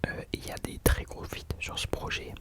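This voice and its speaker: A young man whispering casual ASMR commentary as a host, hesitantly searching for his words. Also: moderate pace.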